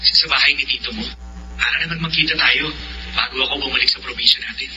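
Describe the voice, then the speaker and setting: A man's voice: mid-range voice, fast, lo-fi sound, background noise, lightly acted. A man acting an apologetic, eager young friend in a Filipino radio drama.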